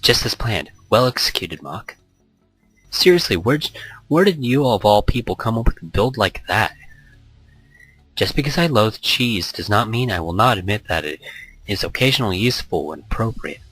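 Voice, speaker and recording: A man voicing a proper, encouraging woman gamer in a visual novel, with formal, measured wording. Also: fairly low pitch, expressive, a bit bright, breathy edge, no echo, soft background music, poor audio. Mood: approving.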